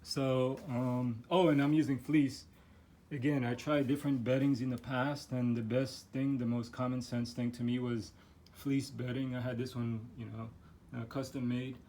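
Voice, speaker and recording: A man's voice: medium pitch, level tone, normal speed, mellow. A man who is losing his voice, rambling through a casual home vlog as he shows his pets' setup in his living room, with lots of fillers and restarts. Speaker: guinea pig owner showing his setup.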